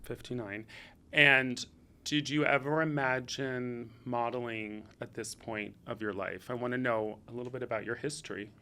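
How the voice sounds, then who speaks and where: A man's voice: medium pitch, steady tone, normal speed, bright, forceful tone, clean tone. A man hosting a fashion podcast interview, introducing and questioning his guest in an upbeat, eager way. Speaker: podcast host.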